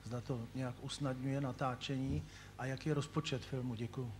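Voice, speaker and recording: A man's voice: medium pitch, little pitch movement, quick pace, slight background noise. A Czech man asking a formal question as a journalist at a press conference. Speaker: news agency journalist.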